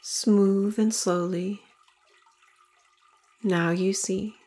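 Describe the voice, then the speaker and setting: A woman leading a guided meditation in slow, deliberate phrases with long pauses between them. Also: medium pitch, fairly even intonation, warm tone.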